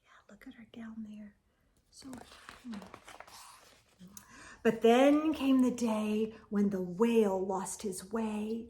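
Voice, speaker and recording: A woman storyteller reading a children's picture book aloud in a dramatic, expressive way for young listeners. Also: fairly high pitch, slow pace, long pauses. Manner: dramatic, drops into whispers, addresses young listeners.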